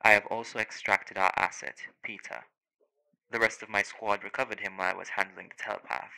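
Guy playing brit secret agent reporting in, low monotone, businesslike, audio rp. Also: a little fast, creaky, poor audio. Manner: formal, clipped reporting. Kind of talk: audio roleplay acting.

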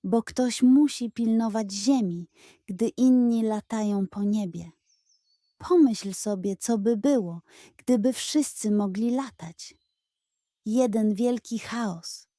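A woman's voice: on the high side, takes it slowly, warm tone, dry recording, clean studio sound. Woman voicing a sly, pompous greedy male pug. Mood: sage. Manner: grandiose, mock-solemn, scheming. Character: sly greedy male pug posing as a solemn chicken ghost. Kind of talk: children's audio fairy tale.

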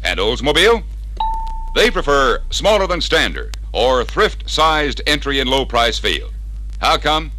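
Male narrator, American, 1960s dealer training film read. Lively intonation, bright tone, persuasive salesman punch, vintage record sound. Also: medium pitch, slight background noise, rough recording. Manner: punchy, persuasive salesman delivery with rhetorical questions.

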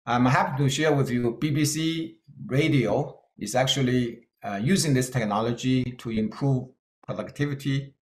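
A middle-aged man with a Chinese-Scottish accent gives a keynote in a roomy hall. His pitch sits in an ordinary middle range. He speaks earnestly and plainly, with hesitant fillers. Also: moderate pace. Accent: Chinese-Scottish English. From China. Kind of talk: conference talk with slides.

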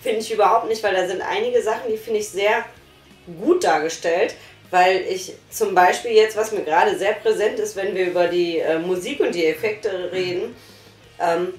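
A woman's voice: medium pitch, moderate pace. A young woman reviewing an audio drama, talking frankly and emphatically from a sofa in a small living room, recorded by a distant camera. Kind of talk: casual review chat. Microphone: far camera. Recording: roomy room sound, faint music.

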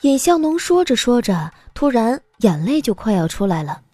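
Lively high-voiced woman narrator. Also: moderate pace, no room sound, faint music behind. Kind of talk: expressive scripted audiobook narration.

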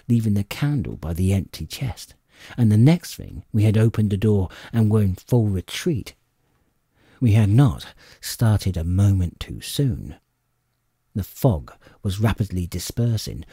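A man narrating an adventure audiobook in an expressive, measured storytelling manner. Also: lowish voice, breathy edge, no room sound, clean studio sound. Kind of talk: dramatic scripted storybook narration.

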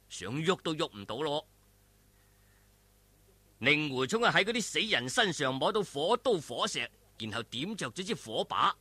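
A man's voice: a little high, bright, forceful tone, dry recording. A man with a Hong Kong Cantonese accent narrating a martial arts story in a theatrical, colloquial, emphatic way. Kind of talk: dramatic wuxia audiobook narration.

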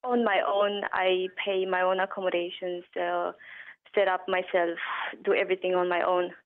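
A woman's voice: fairly low pitch, little pitch movement, moderate pace. A woman from Romania with a Romanian accent, calling in to a radio show and giving blunt, matter-of-fact short answers. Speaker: caller. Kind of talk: phone-in interview. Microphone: telephone. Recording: tinny phone line.

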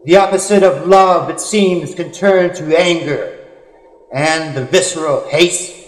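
A man reading poetry in a solemn, dramatic style, with earnest, measured phrasing and drawn-out pauses. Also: a little high. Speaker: poet. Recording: echoey, long hall-like reverb.

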